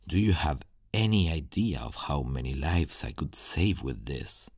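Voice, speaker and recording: A man playing a zealous, self-righteous villain scientist in an ASMR roleplay, speaking in a deliberate, theatrical, intense way with a gravelly, husky voice. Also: rumbling low, darkish tone, dry recording, studio quality, exaggerated voice. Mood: brooding, defiant. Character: menacing, zealous male supervillain scientist, self-righteous and brooding.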